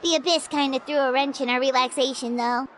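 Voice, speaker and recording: A woman voicing a tiny, childlike fairy companion in a video game, squeaky, bubbly and chatty in a perky manner. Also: fairly even intonation, fairly quick, slight background noise, close to an everyday voice. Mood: cheerful, chatty. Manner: perky, talks of herself in third person. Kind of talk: video game character acting.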